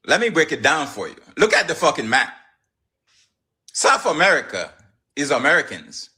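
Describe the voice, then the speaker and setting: A man's voice: a little high, very animated, moderate pace, bright, forceful tone. A man from Haiti ranting in a social media clip, lecturing and emphatic.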